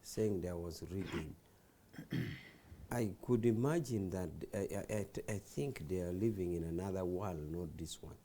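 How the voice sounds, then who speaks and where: A man's voice: low-pitched, unhurried, dark tone, a little hiss or hum. A man, a party official on a talk show, defending his side in an insistent, lecturing way. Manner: insistent, lecturing, repeats phrases.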